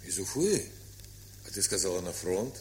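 An actor playing a frank, calm, good-natured grown nephew who gently banters in a radio play. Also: male voice, low-pitched, normal speed, a little hiss or hum. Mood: teasing. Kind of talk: Soviet radio play acting.

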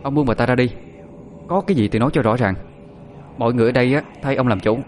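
A man's voice: medium pitch, fast talker, slight background noise, close to an everyday voice. A man voicing a stern, scornful woman spirit medium who gives orders in a powerful, authoritative way. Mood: scornful command. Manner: imperious, issuing sharp orders.

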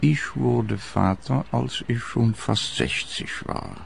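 An actor playing a very old father in a radio play, reminiscing deliberately and deadpan about his wayward son. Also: mid-range voice, vocal fry, over music. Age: older.

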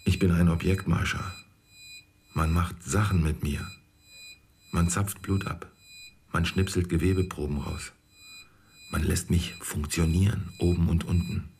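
A man's voice: deep voice, steady tone, unhurried, long pauses, darkish tone, slight background noise. An actor playing a wry, embattled insurance salesman in a radio play, speaking a sardonic monologue in clipped phrases. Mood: bitter, sardonic.

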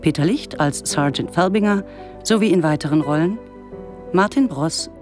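A woman's voice: on the low side, normal speed, a little mellow. A woman announcer reading radio drama titles and credits in a formal, scripted style. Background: music.

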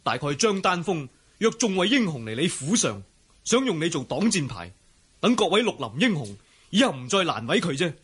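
A man's voice: a little high, big pitch swings, moderate pace, fairly bright tone, breathy edge, full character voice. A male actor playing an earnest, accusing young outlaw fighter in a Cantonese wuxia radio drama, insistent yet deferential. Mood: persuasive.

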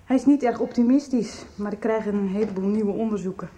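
A woman's voice: medium pitch, a little fast, dark tone, a little hiss or hum, close to an everyday voice. An actress in a radio soap playing an earnest, vulnerable young woman. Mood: frightened, sad. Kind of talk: 1990s radio soap acting.

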